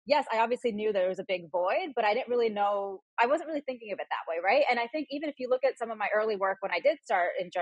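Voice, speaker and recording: A woman in her forties, a journalist, chatting quickly as a podcast guest, full of "like" and "you know". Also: medium pitch, dry recording, low-quality recording. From United States. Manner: quick, chatty storytelling with frequent "like". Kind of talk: casual interview conversation.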